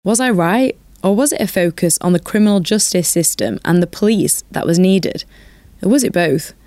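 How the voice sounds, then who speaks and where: A woman's voice: on the low side, a little mellow, no room sound. A woman with a cold, sounding bunged up and sniffly as she narrates a documentary in a candid, personal way. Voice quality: nasal, congested. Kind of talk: reflective voice-over narration.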